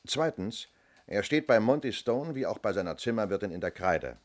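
A man acting a police inspector in a 1970s radio drama. His voice is deep, and he talks in a measured, matter-of-fact way, methodical and probing. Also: animated, lightly acted. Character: methodical, fair-minded male police inspector.

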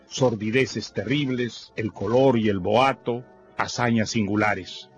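A man giving a scripted literary introduction as a radio presenter, formal, measured and erudite. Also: medium pitch. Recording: dull radio sound with faint music.

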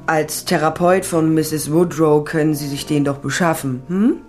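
A woman's voice: sits low, steady tone, moderate pace, a little mellow, soft background music, natural voice. An actress playing a tough, wry female private investigator in a German radio crime drama, blunt and dry. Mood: brisk, pressing. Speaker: narrator and lead actress.

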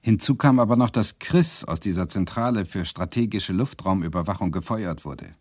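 A man's voice: deep voice, animated, moderate pace, darkish tone, dry recording, studio quality. An actor playing a reflective Swedish lecturer who narrates his own story in a radio drama. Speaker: narrator and lead character, a lecturer.

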